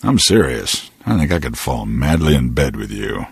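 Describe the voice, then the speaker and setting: Sleazy drunk creep in a game, super low husky voice, hitting on someone. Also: male voice, very animated, moderate pace, dry recording, clean studio sound, exaggerated voice. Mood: sleazy flirting. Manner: sleazy, coaxing. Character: leering drunken man making crude passes. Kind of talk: video game voice acting.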